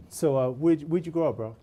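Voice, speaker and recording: Laid-back guy hosting an interview, warm dark voice, slangy, lots of mm-hmms. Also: on the high side, moderate pace. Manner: casual, friendly, slangy, with frequent backchannel hums. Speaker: host.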